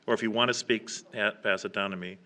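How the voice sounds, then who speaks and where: A man chairing a public meeting in an informal, halting way, reading out names and written comments. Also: on the low side, fairly even intonation, pushed and bright. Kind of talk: running a public meeting, reading emails aloud. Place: council chamber, public meeting.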